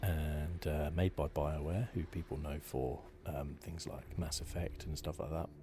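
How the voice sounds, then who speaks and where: Man, very low pitch, casual ASMR gaming host, hushed rambling delivery, noticeable noise behind. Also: steady tone, measured pace. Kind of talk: ASMR game playthrough commentary, casual.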